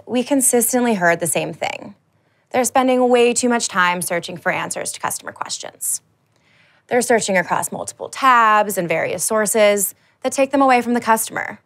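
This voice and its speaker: A woman in her thirties giving a scripted product demo as a marketing presenter, with a polished, upbeat, clearly enunciated delivery. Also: medium pitch, a bit bright. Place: large dark indoor studio stage.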